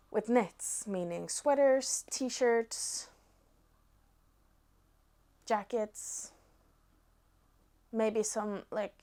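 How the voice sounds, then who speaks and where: A woman in her twenties from Norway with a Norwegian accent, chatting casually to viewers as a knitting vlogger, pausing between short phrases. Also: mid-range voice, darkish tone. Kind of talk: casual chat to camera.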